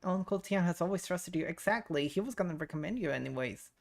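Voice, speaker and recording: A woman live-streaming a game in a lowish voice with lively intonation. She reacts casually, dropping in short informal comments as the story goes on. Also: quick pace, creaky. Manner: informal, interjecting.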